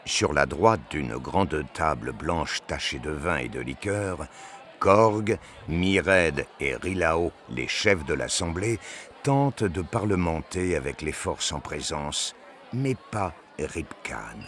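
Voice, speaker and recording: Very deep, unhurried male narrator. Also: animated, a bit bright. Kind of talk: scripted dramatic narration of a sci-fi audio drama.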